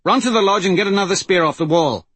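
A man voicing a practical male warrior in an audiobook, brisk, matter-of-fact and wry. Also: a little high, very animated, pushed and bright, slightly rough, no room sound, voice pushed up, exaggerated voice.

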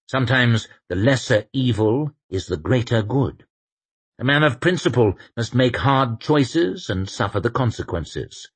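A man's voice: medium pitch, slightly rough, pitched above the performer's own voice. A man doing a stern, zealous inquisitor. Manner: grave, declamatory. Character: stern zealous male inquisitor, grim and righteous.

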